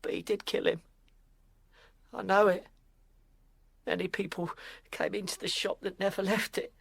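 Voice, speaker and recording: A woman playing a frightened young apprentice boy, timid, halting and deferential, in a period audio drama. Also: medium pitch, steady tone, vocal fry, slightly rough, no echo. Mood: fearful.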